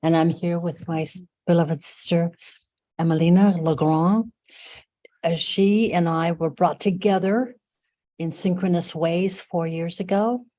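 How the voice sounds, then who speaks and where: A woman speaking on a video call, halting and hesitant with many fillers. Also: on the low side, steady tone, warm tone. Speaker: guest speaker. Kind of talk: casual video call remarks.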